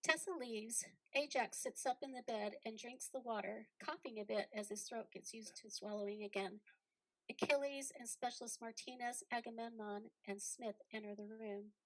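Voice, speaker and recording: Higher-voiced woman narrating a play. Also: fairly even intonation, moderate pace, rough recording. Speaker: narrator reading stage directions. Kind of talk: scripted narration.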